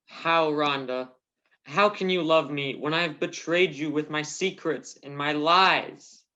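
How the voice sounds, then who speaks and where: A man's voice: a little high, slow pace, bright, forceful tone. A man playing an earnest, anguished, slightly dim husband in a radio soap opera parody. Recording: dull lo-fi recording.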